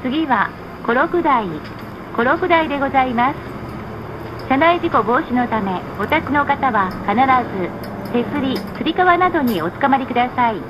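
A woman reading scripted stop announcements on a bus in a measured, formal, polite manner. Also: high voice, animated. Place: played over speakers inside a moving bus. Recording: muffled, noisy recording inside a bus. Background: bus engine and road noise.

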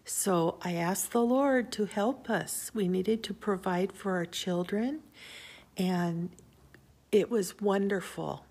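An older woman gently telling children a personal faith story indoors, in a homely storytelling way with small pauses. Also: mid-range voice, breathy edge. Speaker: Sunday school teacher.

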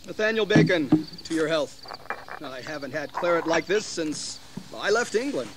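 A bold, blunt colonist played by a man with a slightly high voice. He speaks emphatically in historical radio drama style, over faint background noise. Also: animated, normal speed, lightly acted. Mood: convivial. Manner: outspoken, emphatic. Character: blunt, disgruntled colonial planter, bold and scornful. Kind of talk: historical audio drama acting. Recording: faintly noisy 1980s drama recording.

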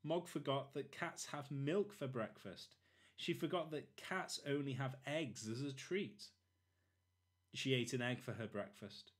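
A man narrating a children's storybook expressively, in short, deliberate phrases. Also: medium pitch. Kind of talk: expressive children's read-aloud.

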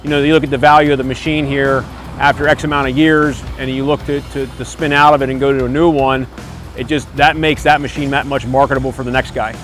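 Man in his forties, American accent, medium pitch, plain-spoken contractor, casual interview, outdoor site, light music underneath. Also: little pitch movement, quick pace, a bit bright. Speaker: construction company owner. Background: background music.

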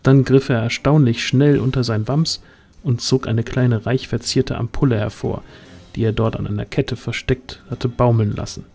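A man's voice: mid-range voice, fairly even intonation, moderate pace, creaky, a little mellow, husky. A man giving scripted narration for a fantasy audio drama, as its storyteller. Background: music.

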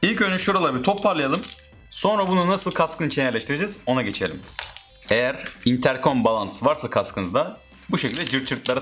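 Male DIY host, medium pitch, informal how-to narration over music. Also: fairly bright tone. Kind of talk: casual step-by-step how-to narration.

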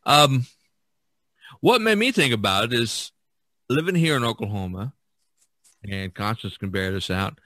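Bright-voiced American man hosting a podcast. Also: medium pitch, expressive, measured pace, long pauses, no room sound. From Indiana, USA. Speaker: podcast host. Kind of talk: casual podcast chat.

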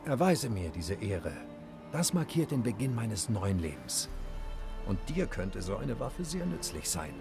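A proud nobleman who is worn down by grief. His gravelly voice sits low and moves slowly, somber and heartfelt, over a loud music bed in this game dub. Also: sing-song, exaggerated voice. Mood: earnest. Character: proud red-haired nobleman, weary, grieving.

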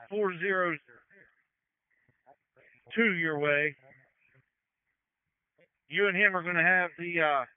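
A man's voice: on the high side, clear, resonant tone, dry recording. A man speaking as a fire incident commander, giving terse, procedural orders over a two-way radio. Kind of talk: emergency radio dispatching. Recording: phone-like fire radio channel.

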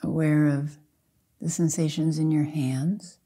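Casting: older female meditation teacher, very dark, mellow tone, soft and husky, gentle measured phrasing with long pauses. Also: low-pitched, little pitch movement. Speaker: Zen teacher leading a guided meditation. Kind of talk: slow guided meditation.

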